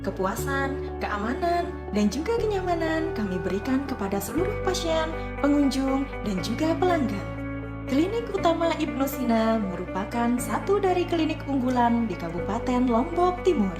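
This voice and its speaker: A woman's voice: high voice, big pitch swings, normal speed. A woman reading scripted promotional narration as a voice-over announcer, in an upbeat advertising delivery. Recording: echoey voice-over over loud music. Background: loud music.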